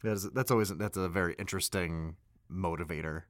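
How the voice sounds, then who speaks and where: A man chatting casually as a voice actor guest, wry and a little halting in his phrasing. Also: low-pitched, no room sound, clean studio sound. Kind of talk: casual chat.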